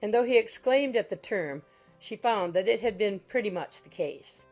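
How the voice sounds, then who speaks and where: A woman's voice: medium pitch, expressive, normal speed, darkish tone, muffled recording, soft background music. A woman reading scripted literary narration as the narrator of a classic audiobook.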